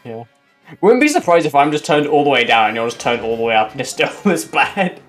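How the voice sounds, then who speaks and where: A man with a loud, booming voice doing casual speedrun commentary, rambling with lots of fillers, his mic at forearm's length. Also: very animated, a little fast, faint music behind. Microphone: desk mic at forearm's length.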